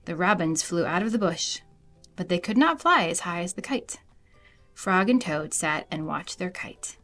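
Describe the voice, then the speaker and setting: A woman reading a children's storybook aloud in an animated narrating style. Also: lowish voice. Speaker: storybook reader.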